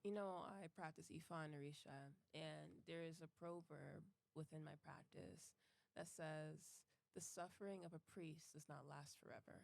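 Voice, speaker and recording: A woman's voice: low voice, steady tone, moderate pace, gravelly, no room sound. A woman from Nigeria, a writer speaking reflectively as a podcast guest. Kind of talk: podcast interview.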